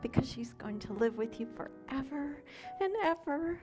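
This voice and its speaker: A woman's voice: high voice, animated, normal speed. A woman playing a kind, magical nursery fairy in a storybook reader's theater performance, with music behind her. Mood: bright, commanding.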